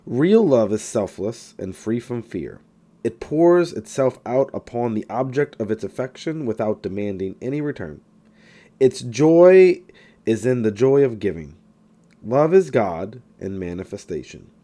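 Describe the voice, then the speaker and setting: A man reading a self-help book aloud in scripted narration, with emphatic, deliberate phrasing. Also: mid-range voice, warm tone. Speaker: audiobook reader.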